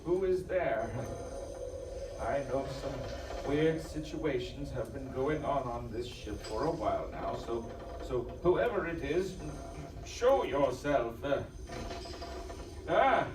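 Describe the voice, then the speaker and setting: Distant and echoing on a noisy live stage, a man acts out a nervous, skeptical doctor in a ghost tale. He stammers slowly through formal, old-fashioned phrases with theatrical swings. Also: mid-range voice, expressive. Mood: frightened. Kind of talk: theatrical live audio drama acting.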